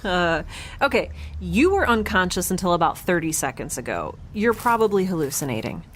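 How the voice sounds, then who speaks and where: A woman playing an injured, stubborn scientist in an audio drama, talking in a halting, defensive, naturalistic way. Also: fairly low pitch, sing-song, slight background noise. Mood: dismissive denial. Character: injured, stubborn, rambling woman scientist, dazed but defiant.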